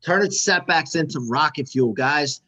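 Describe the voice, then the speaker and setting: A man hosting a podcast, talking in an energetic, hype-style way as he promotes and interviews. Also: on the high side, normal speed. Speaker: podcast host.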